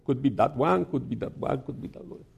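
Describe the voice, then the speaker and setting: A man giving a sermon as a guest preacher in a church, telling a story in a halting way with many restarts and some gentle humor. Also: medium pitch, gravelly, breathy edge.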